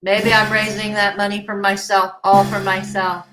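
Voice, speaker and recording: A woman voicing a whiny, broke man who sings a slow, drawn-out begging blues in a mocking sing-song. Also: medium pitch, flat delivery, fairly bright tone, faint music behind, close to an everyday voice, rough recording.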